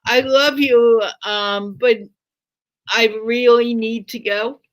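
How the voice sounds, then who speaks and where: A woman's voice: on the high side, fairly even intonation, takes it slowly. An older woman from the United States chatting casually as a guest. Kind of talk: casual chat.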